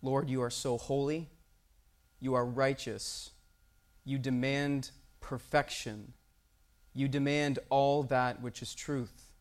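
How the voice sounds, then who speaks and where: A man's voice: mid-range voice, little pitch movement, slow pace. Friendly church youth leader, a man. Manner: friendly, chatty with children, then solemn in prayer. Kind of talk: church talk and prayer.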